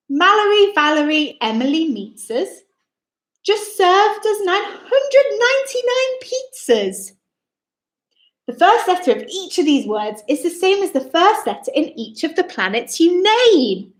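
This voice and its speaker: Very high, animated woman reading to children. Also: unhurried. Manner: theatrical, exclaiming, addresses young listeners directly. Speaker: children's storytime host reading a picture book aloud. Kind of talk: expressive rhyming read-aloud for young children. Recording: roomy, echoing indoor sound.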